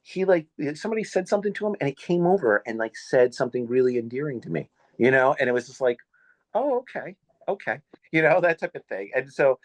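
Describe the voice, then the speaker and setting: A man in his fifties hosting a podcast, talking in a casual, halting way that is full of fillers. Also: medium pitch, very animated, dry recording. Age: 50s. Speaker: podcast host.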